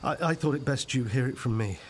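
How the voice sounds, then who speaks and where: A man's voice: medium pitch, fast, slight background noise, lightly acted. An actor playing a well-meaning, hesitant male friend in a radio soap, speaking tentatively and apologetically. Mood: reluctant.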